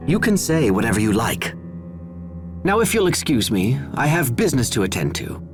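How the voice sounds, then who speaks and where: An actor playing a stern, proud young military officer in a video game, formal, cold and dutiful. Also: male voice, medium pitch, big pitch swings, normal speed, music in the background, natural voice. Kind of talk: dramatic video game voice acting.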